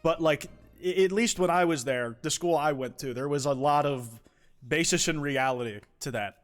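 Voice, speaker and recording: Young male streamer, a touch high-pitched, loose rambling delivery full of profanity; faint music under him. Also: normal speed. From United States. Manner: rambling, profane, many restarts. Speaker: streamer reading viewer confessions. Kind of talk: casual live-stream chat.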